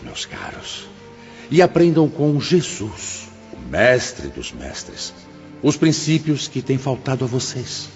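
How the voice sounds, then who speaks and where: A man playing a venerable, fatherly spirit mentor in an audiobook drama, solemn and exhorting in a formal, measured way. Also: on the low side. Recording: dull, reverberant hall sound with music behind.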